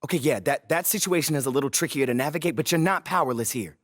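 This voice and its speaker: A man's voice: on the high side, fast talker, dry recording, studio quality, natural voice. A man playing a persuasive, scheming adviser in a video game scene, coaching with natural but emphatic delivery.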